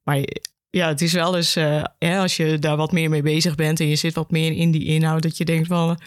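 A woman's voice: low-pitched, level tone, normal speed, dry recording, studio quality. A woman, speaking conversationally as a guest explaining her field. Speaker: education officer, podcast guest.

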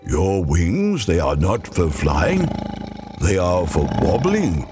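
A man voicing a gentle, thoughtful tiger, a sweet best friend in a children's storybook, in a deliberate, encouraging way. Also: low-pitched, huge pitch swings, over loud music, heavily acted. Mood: excited realisation.

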